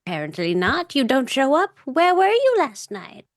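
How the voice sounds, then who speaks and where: Woman playing a cranky, teasing little old lady, lively pitch. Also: normal speed, dry recording, clean studio sound.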